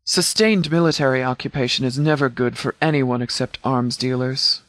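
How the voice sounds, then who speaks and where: Man playing a measured, formal sheriff's commander. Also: medium pitch, no room sound, natural voice. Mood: analytical, matter-of-fact. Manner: measured, formal, precise, deadpan. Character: composed, formal male sheriff's department commander, strategic and dry. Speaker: game character, law officer. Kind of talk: video game dialogue voice lines.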